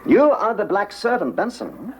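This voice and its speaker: Shouty german investigator, hard spitty consonants, old tv recording with hiss. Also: male voice, high voice, huge pitch swings, normal speed. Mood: sly, mocking. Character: bullying, shouting male German police investigator. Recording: old TV sitcom, audience laughter and hiss. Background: studio audience laughter.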